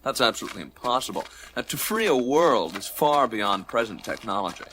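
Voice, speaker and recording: A man's voice: mid-range voice, big pitch swings, brisk, bright, forceful tone, slight background noise, poor audio. A man playing a prim, evasive, spindly alien company director in a radio play, speaking in a formal, fussy, condescending way. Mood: fussy, explanatory. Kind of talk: radio play character acting.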